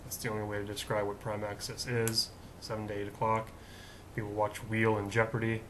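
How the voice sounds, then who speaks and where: A man with a deep voice hosting his own daily vlog, rambling in a chatty, self-mocking way with lots of fillers. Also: completely flat delivery, a little fast, background noise. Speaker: vlog host. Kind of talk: casual rambling vlog monologue.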